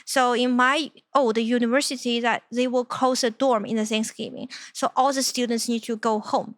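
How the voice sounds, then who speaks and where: Chinese-accented young woman, kinda high voice, halting, lots of uh and like, dry close sound. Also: a bit bright, no echo. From China. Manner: halting, searching for words, many fillers. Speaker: interview guest, company founder. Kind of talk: personal storytelling in conversation.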